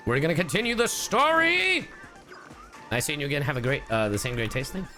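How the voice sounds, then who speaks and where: A man's voice: on the high side, sing-song, brisk, sharp tone, faint music behind. A man streaming casual live reactions to a game, joking and slangy. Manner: joking, slangy, breaks into humming and catchphrases.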